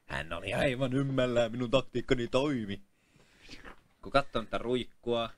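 A man's voice: medium pitch, background noise, close to an everyday voice. A man voicing a shrewd, bossy pawnbroker in a comic amateur dub, haggling in a blunt, teasing, exclamatory way. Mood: exasperated confiding.

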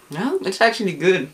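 A man's voice: sits high, a bit bright. A young man with a Danish accent, a native Danish speaker, chatting casually on camera about a beer he is tasting, rambling with lots of fillers and restarts, recorded indoors on a camera in front of him. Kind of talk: casual chat. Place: indoor room.

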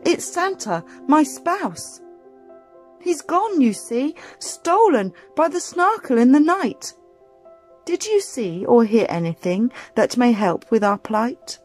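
A woman's voice: high-pitched, very animated, takes it slowly, darkish tone, faint music behind, voice pushed up, heavily acted. A woman voicing Santa's determined, distressed wife in an earnest, pleading, emphatic storybook manner.

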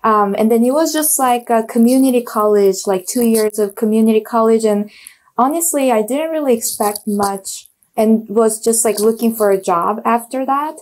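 A woman's voice: fairly high pitch, moderate pace, darkish tone. A young woman from South Korea with a Korean accent, talking casually as a guest on a video call, with many fillers and restarts as she searches for words. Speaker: guest, designer. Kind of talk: casual interview chat. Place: small home room with bookshelves. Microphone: earphone mic on video call.

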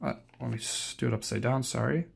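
A man's voice: medium pitch, fairly quick, gravelly, breathy edge. A young adult man giving a casual, unscripted show-and-tell, rambling hesitantly and often correcting himself. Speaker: collector presenting his cassette collection.

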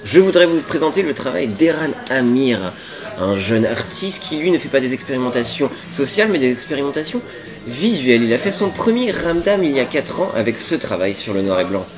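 A man's voice: medium pitch, big pitch swings, brisk, music in the background. A man in his twenties with a French accent, casually co-hosting a web show with wry jokes. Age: 20s. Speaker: co-host of a web culture show. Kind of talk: casual presenting to camera. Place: diner booth with red seats.

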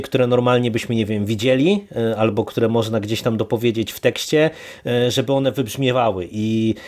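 A man talks as a podcast co-host in a casual review discussion. His pitch sits in the middle of the male range. He phrases things wordily and hesitantly, circling toward his point. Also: a little mellow.

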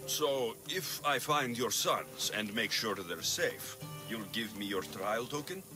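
A man's voice: mid-range voice, animated, slow pace. An actor playing a dry-witted male mercenary hero with a sardonic delivery. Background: game music.

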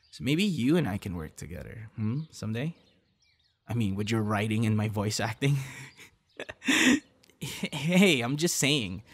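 A friendly young man, acted out by a male performer, chats one-on-one in a casual ASMR roleplay. His voice sits on the low side with a crackly, gravelly edge. He is a little shy and hesitant, with a soft teasing streak. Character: friendly, shy young male budding voice actor meeting stranger.

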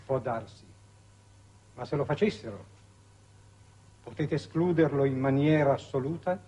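A man playing a stern, coldly dutiful military colonel with a husky voice, formal and commanding, in 1960s Italian television drama. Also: mid-range voice, a little slow, mellow, low-quality recording. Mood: cold, pressing.

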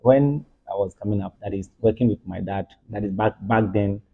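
A man in his thirties with a Ghanaian accent, answering interview questions casually and haltingly, repeating words. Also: lowish voice, vocal fry, a little hiss or hum. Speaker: carpenter, interview guest. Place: furnished room, seated in armchairs. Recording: thin, muffled phone-like sound.

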